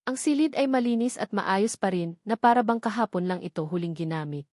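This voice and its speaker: A woman reading a dramatic love story as narrator, in a solemn, sentimental and deliberate way. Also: medium pitch, animated, a little mellow, dry recording, studio quality.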